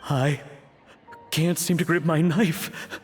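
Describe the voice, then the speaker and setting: A man's voice: a little high, steady tone, slow. An actor playing an earnest, humbled chef and father in a video game, polite and hesitant. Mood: nervous, shaken. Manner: hesitant, polite, anxious to prove himself. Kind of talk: scripted game voice acting. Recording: long reverb, music underneath.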